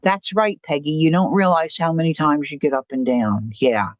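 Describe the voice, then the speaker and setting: Older woman host, low voice, rambling chatty storyteller, dry close mic. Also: normal speed, no echo. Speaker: livestream host. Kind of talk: casual chat.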